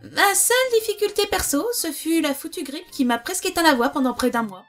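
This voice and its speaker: A woman talks bluntly and casually about problems on a project. Her voice is on the high side. Soft music sits behind her. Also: animated, a little fast. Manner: blunt, laid-back. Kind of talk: casual Q&A answers.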